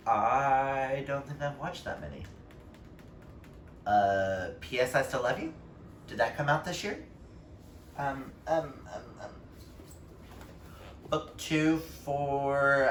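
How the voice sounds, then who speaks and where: A man talking casually and expressively to viewers in a book vlog, informal and given to emphatic exclamations. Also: medium pitch. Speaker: book YouTuber. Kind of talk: casual chatty vlog talk to camera. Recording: roomy echo, distant mic, faint noise.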